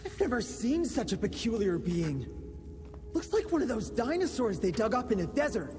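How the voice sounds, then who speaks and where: An actor playing an eccentric, excitable young scientist in a video game, with an American accent and a chatty, cheeky manner. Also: male voice, extremely high pitch, moderate pace. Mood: awed, chatty. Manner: talkative, cheeky, nerdy. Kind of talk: video game cutscene acting. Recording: loud music under the voice.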